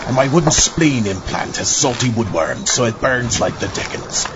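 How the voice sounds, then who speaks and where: A sly, gleefully dishonest captain, acted by a man, swings up and down in pitch. It is comic audio drama, in dull, lo-fi sound with clear noise behind. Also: expressive, normal speed, natural voice. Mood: jovial, grumbling. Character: sly, scurvy old pirate captain, gleefully lying.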